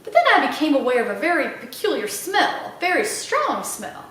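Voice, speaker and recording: A woman's voice: high-pitched, huge pitch swings, brisk, a bit bright, heavily acted. A woman voicing a proud old small-town Southern lady librarian who tells tales with dramatic, repeated emphasis for a children's storybook. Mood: dramatic, relishing. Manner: emphatic, repeats phrases for effect. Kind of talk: children's audiobook character voice. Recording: very echoey room, slightly distant mic.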